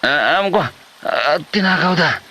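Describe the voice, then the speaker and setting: A man acting as a lazy, sly Ilocano husband in a comedy drama. His voice is bright and swings widely in pitch as he whines out exaggerated excuses with an Ilocano accent. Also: expressive, normal speed, exaggerated voice. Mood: sheepish, evasive.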